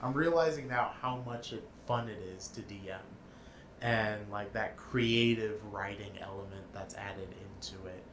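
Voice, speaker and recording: A man's voice: fairly low pitch, moderate pace, fairly bright tone. A man hosting a podcast in casual chat, talking with enthusiasm and laughing often. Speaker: podcast host. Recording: roomy with faint background noise.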